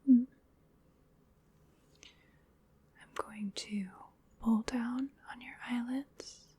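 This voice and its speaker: A woman playing an ancient vampire in an ASMR roleplay, whispering breathily in a slow, genteel, soothing manner with quiet menace. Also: a little high, fairly even intonation, breathy edge, dry recording, exaggerated voice. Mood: clinical, gentle. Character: ancient female vampire, sinister yet coaxing and polite.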